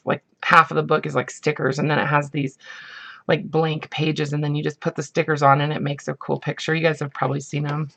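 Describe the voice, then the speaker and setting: Woman, husky morning voice, gravelly fry, chatty and rambling, casual craft show-and-tell. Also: sits low, a bit bright. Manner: chatty, rambling, many ums and likes. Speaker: craft vlogger.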